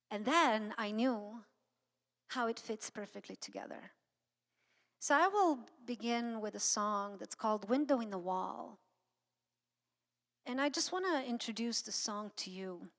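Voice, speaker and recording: A middle-aged woman from Croatia with a Croatian accent, giving an informal inspirational talk with halting, anecdotal storytelling. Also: mid-range voice, vocal fry. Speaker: guest speaker and singer. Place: church sanctuary, speaking to an audience. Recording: long hall reverb.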